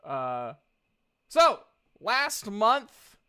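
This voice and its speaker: Slow-paced woman game master. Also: medium pitch, sing-song, pushed and bright, smooth. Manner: slangy, jokey asides. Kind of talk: casual narrating of a tabletop game.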